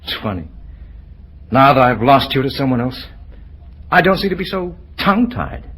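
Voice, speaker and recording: A man playing a bashful, lovestruck young man in an old-time radio drama, with an earnest, halting delivery. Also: medium pitch, sing-song, a bit bright. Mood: tender, yearning. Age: young adult. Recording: noisy vintage radio drama recording.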